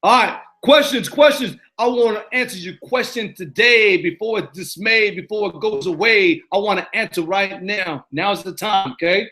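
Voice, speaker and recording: A high-pitched man with a New York accent runs a live motivational Q and A. His voice is bright and forceful, and he hammers his points home with repetition. He keeps checking in with his listeners. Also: moderate pace, hardly any pauses. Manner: emphatic, repetitive, preachy, keeps asking "you know what I'm saying". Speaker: motivational coach hosting a live Q and A. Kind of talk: live-stream Q and A monologue. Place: living in Arizona.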